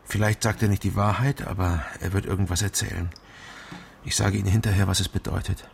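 An actor playing a dry, terse East Berlin private detective in a naturalistic radio crime drama. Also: male voice, low-pitched, steady tone, brisk, gravelly, noisy background. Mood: calm, instructing.

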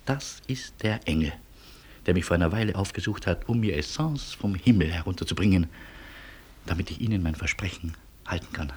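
An actor in a German radio play playing a world-weary refugee with a husky voice and wry, courtly politeness. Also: male voice, low-pitched, fairly quick, darkish tone. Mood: reverent, assured.

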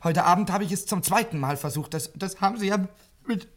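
Stammering scared thief, husky voice, 1950s radio drama, babbling and begging. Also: male voice, high voice, fairly quick. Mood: nervous confession. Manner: stammering, pleading, panicky. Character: nervous stammering male hotel thief confessing.

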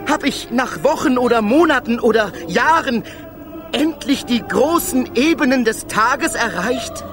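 An actor playing an awestruck traveller in space, a dreamy and proud poet-philosopher, declaiming in a literary German radio drama. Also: male voice, very high voice, animated, measured pace, music in the background. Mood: anguished exclaiming. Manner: declamatory, rapturous, theatrical.

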